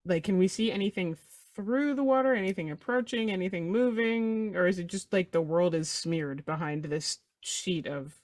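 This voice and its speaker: A woman's voice: on the low side, normal speed, darkish tone, no echo. A woman playing a tabletop role-playing game, talking casually as she improvises.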